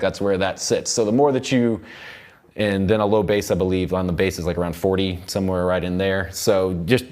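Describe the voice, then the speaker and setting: A young man teaching music production in a studio, explaining software in an informal, rambling way. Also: fairly low pitch. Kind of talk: casual software tutorial. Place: indoor recording studio.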